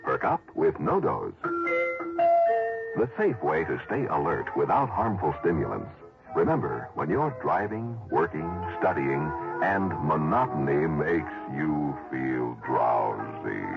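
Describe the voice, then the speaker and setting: A man's voice: a bass voice, sing-song, takes it slowly, gravelly. A man announcing a scripted 1960s radio commercial. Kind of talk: 1960s radio advertisement read. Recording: dull old radio recording, loud music. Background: loud music.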